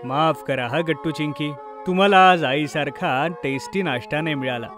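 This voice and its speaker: Kindly dad male performer for a children's cartoon: apologetic, reassuring, fairly high voice. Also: moderate pace, fairly bright tone, faint music behind, lightly acted. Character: kindly, apologetic father of two schoolchildren.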